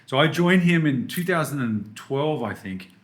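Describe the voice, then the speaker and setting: A man's voice: fairly high pitch, expressive, normal speed. A man in his thirties from Australia with an Australian accent, a podcast guest chatting casually and enthusiastically, full of restarts and fillers. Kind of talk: casual interview chat.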